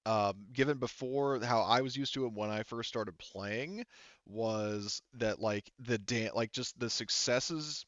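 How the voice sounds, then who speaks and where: A man's voice: mid-range voice, measured pace, fairly bright tone, no room sound, muffled recording. A man speaking casually as a game master, hesitantly thinking aloud as he works through tabletop game rules.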